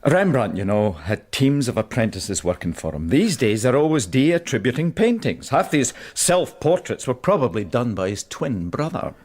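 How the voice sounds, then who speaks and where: A worldly, opinionated man in a radio play. He is knowing and matter-of-fact, with a medium-pitched voice and lively intonation. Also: normal speed, few pauses. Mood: wry, reassuring. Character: worldly, opinionated male friend giving cynical advice. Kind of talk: radio drama acting.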